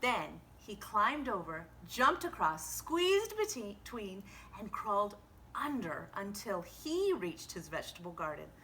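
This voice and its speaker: A woman reads a children's picture book aloud in a bright, clear-cut voice. She takes it slowly and dramatically, dropping into hushed tones for sound words. A noticeable background noise sits under the reading. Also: mid-range voice, big pitch swings, poor audio.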